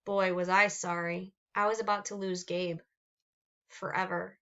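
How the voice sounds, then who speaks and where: A woman reading a children's picture book aloud with expressive narration. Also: on the low side, unhurried, highs cut off. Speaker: storybook reader.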